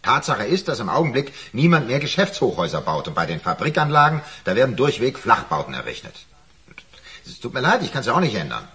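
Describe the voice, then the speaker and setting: Ein Schauspieler spielt im Hörspiel den nüchternen, schroffen Chef einer angeschlagenen kleinen Firma. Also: male voice, medium pitch, huge pitch swings, a little fast, pushed and bright. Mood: grim, insistent. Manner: matter-of-fact, evasive, brusque. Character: unsentimental boss of a struggling small firm. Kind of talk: radio drama acting.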